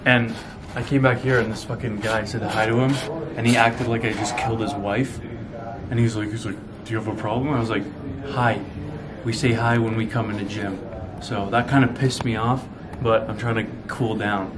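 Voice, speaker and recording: A man's voice: fairly low pitch, steady tone, moderate pace, roomy sound, big-room sound, noisy background. A young man chatting casually to camera in slangy, sweary bro talk, as a fitness vlogger recording indoors in a gym. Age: young adult. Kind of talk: casual vlog chat to camera. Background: music.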